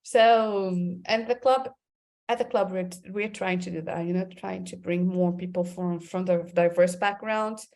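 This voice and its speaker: A woman's voice: fairly low pitch, unhurried, darkish tone. A woman from Brazil with a Brazilian Portuguese accent, speaking English as an interview guest, thoughtful and full of fillers and restarts. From Brazil, Amazon region. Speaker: creative director and club president.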